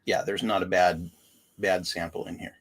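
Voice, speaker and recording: A man's voice: sits low, level tone, normal speed. A man co-hosting a casual livestream, explaining the products plainly and teasing his co-host with dry jokes.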